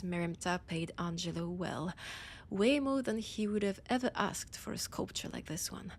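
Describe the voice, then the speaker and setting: A woman playing a haughty, annoyed vampire scholar with a French accent, speaking in a theatrical monologue with dramatic pauses. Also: mid-range voice, a little hiss or hum, lightly acted. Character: annoyed, haughty female vampire scholar guiding a library guest. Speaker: audio roleplay performer. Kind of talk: slow theatrical in-character monologue.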